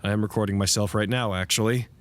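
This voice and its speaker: An actor playing an earnest, weary radio broadcaster at a trapped research site in an audio drama, speaking formally and wistfully. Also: male voice, fairly low pitch, steady tone, quick pace, lightly acted. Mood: measured, hopeful.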